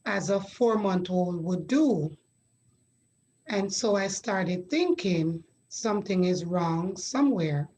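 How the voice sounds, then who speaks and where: A middle-aged woman from Jamaica, a guest sharing her story in a measured, reflective way with pauses. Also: medium pitch, dark tone. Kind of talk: conversational interview.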